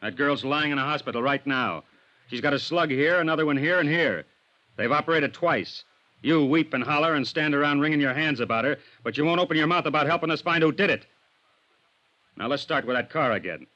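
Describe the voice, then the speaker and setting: An actor playing a blunt, impatient American police sergeant in a 1950s radio detective drama, sarcastic and badgering. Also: male voice, a little high, brisk, bright, forceful tone. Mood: badgering, exasperated. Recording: muffled old-time radio recording.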